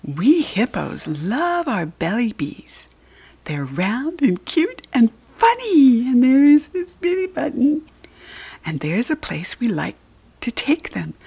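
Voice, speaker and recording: An American woman reading a picture book aloud to young children, expressive, with a breathy voice. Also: on the high side. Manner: expressive, addressing small children.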